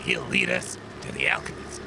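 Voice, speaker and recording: A man playing a gruff, reckless driver on a mission in a comedy audio drama. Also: a little high, huge pitch swings, moderate pace, background noise, exaggerated voice. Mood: determined.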